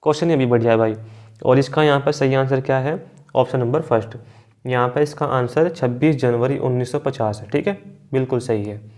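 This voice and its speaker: A man's voice: medium pitch, fairly quick, a little mellow. A man teaching an exam quiz lesson, reading out questions and options, repeating answers and addressing his students directly.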